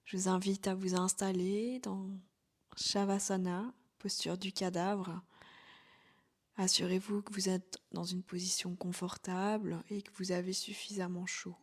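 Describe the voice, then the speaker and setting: Slow, even-toned woman guiding meditation. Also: mid-range voice. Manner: soft, calm, soothing delivery with breathy, near-whispered phrases. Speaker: yoga nidra instructor. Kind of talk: guided relaxation meditation. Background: background music.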